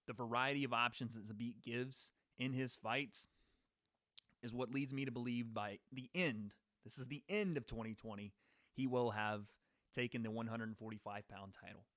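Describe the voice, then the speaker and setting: A man's voice: medium pitch, bright, forceful tone, smooth, no echo, clean studio sound. A man hosting a live stream, giving his sports predictions in a casual, rambling way and greeting people in the chat. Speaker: podcast host.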